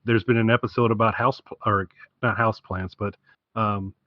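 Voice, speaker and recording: A man with a fairly low voice chats as a podcast co-host. His delivery is conversational and wry. The recording is very dry and somewhat dull, lacking crispness. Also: fairly even intonation, normal speed, no room sound. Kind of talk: casual podcast chat.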